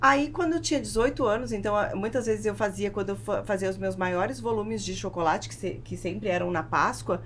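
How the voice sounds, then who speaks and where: A woman with a Brazilian accent telling a personal story as an interview guest, in an emphatic and deliberate way. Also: mid-range voice. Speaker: podcast guest, food business founder. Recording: clear background noise, room ambience.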